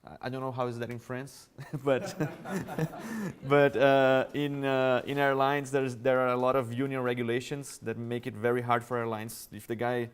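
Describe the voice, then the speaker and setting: Smooth-voiced Brazilian man presenting. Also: mid-range voice, level tone, normal speed. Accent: Brazilian Portuguese. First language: Portuguese. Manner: conversational, explanatory, casually addressing the audience. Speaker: conference speaker. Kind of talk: conference presentation. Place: conference hall.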